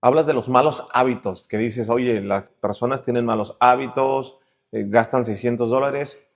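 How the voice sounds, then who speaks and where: Guy hosting a relaxed chat interview, average pitch, thinks out loud and rewords his questions. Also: normal speed. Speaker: interview host. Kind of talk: casual conversational interview.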